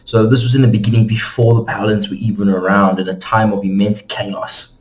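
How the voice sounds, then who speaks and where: Man, low-pitched voice, casual host, informal explainer narration, conversational delivery. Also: flat delivery, fairly quick. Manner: casual, conversational, addresses viewers as guys. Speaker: host of a game lore channel.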